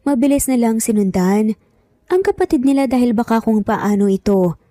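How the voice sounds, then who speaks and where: Higher-pitched, mellow woman storyteller. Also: animated, moderate pace, dry recording. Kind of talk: scripted narration of a romance story.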